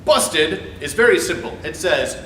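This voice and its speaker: Guy doing a dramatic sarcastic reading, high voice, roomy off-mic sound w music behind. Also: animated, a little slow, sharp tone, recorded from a distance, a little hiss or hum. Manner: theatrical, emphatic, sarcastic delivery. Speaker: host reading his own essay. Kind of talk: dramatic reading of an argumentative script.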